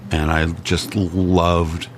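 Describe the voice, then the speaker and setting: A man's voice: sits low, little pitch movement, very slow, a little hiss or hum. An American man with an easygoing, storytelling manner, chatting as a guest on a local talk show. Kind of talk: casual chat.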